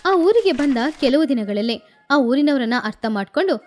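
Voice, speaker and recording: A woman's voice: high voice, expressive, moderate pace, a little mellow, a little hiss or hum. A woman narrating a scripted moral story for children as the storyteller. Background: background music.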